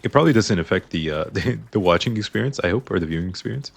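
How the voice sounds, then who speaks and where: Lowish guy chatting thru his game run, voice kinda strained, quiet music in the back. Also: animated, racing, vocal fry, husky. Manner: chatty, explains choices while playing. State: slightly strained voice. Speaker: gaming YouTuber. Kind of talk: casual game commentary.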